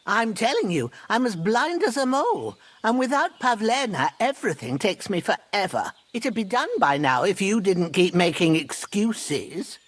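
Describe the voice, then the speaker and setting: A woman's voice: medium pitch, big pitch swings, normal speed, hardly any pauses. A woman playing a kindly, grumbling old village herbwoman in a fantasy video game, with folksy rustic speech. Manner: folksy, rustic, plain-spoken. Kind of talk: dramatic video game acting.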